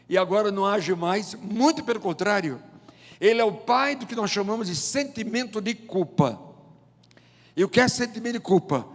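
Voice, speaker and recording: A Brazilian man in his seventies preaching a sermon with deliberate, emphatic phrasing and rhetorical pauses. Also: sits high. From João Pessoa, Paraíba, northeast Brazil. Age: 70s. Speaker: pastor, preacher. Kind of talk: sermon with scripture reading and prayer. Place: large indoor church auditorium. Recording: long hall reverb of church auditorium.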